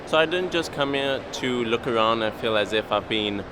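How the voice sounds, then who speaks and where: A man's voice: mid-range voice, expressive, normal speed, background noise. A young man narrating a scripted vlog. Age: young adult. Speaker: vlogger.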